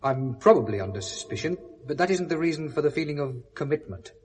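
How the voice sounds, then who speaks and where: A man's voice: a little high, expressive, fast, slightly rough. An actor playing a troubled, earnest and guilt-ridden educated British man in a 1970s radio drama. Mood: brooding, subdued.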